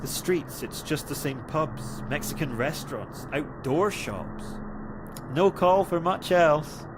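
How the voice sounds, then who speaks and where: A man's voice: a little high, expressive, slow pace, background noise. A man acting in a science fiction audio drama, playing duplicated colonist men. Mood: subdued, conversational. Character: identical duplicated colonist men, bewildered or calmly explaining.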